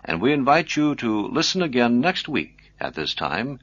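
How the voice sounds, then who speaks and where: A man presenting scripted educational radio narration in a measured, explanatory manner. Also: mid-range voice, big pitch swings, fairly bright tone, no echo, muffled recording. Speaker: programme presenter.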